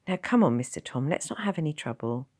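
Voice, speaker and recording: A woman's voice: low-pitched, lively intonation, quick pace, darkish tone, no room sound, studio quality. A woman voicing a nervous young nurse with a sing-song manner.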